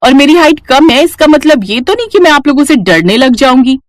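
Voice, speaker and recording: Woman acting a shrill, bratty young sister-in-law. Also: expressive, a little fast, no room sound, studio quality. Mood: defiant, whiny. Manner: whiny, scolding, sarcastic. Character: short, sharp-tongued, bratty young sister-in-law, quick to snap.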